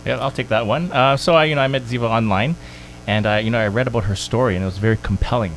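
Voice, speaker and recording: A man from Toronto, a guest on a radio show, talking quickly and peppering his talk with "you know". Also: medium pitch, fairly bright tone, a little hiss or hum, rough recording. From Toronto, Canada. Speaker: podcast producer and guest. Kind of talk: radio interview. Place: radio studio.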